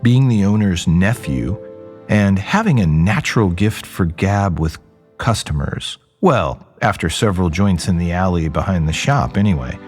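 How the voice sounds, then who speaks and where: A low male voice plays a jaded, rebellious young man in an audio drama. He narrates in first person with lively swings of pitch and wry, conversational asides. Faint music plays behind him. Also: normal speed. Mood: wry, rambling.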